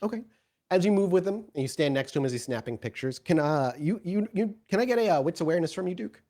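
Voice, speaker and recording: A man improvising tabletop game narration as game master, in a halting, descriptive storytelling manner. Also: high voice, animated, warm tone.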